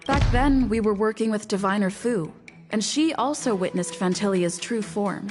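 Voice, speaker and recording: A woman's voice: mid-range voice, moderate pace, a little mellow, faint music behind, close to an everyday voice. A woman voice actor playing an earnest young female traveler in a video game. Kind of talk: scripted video game voice acting.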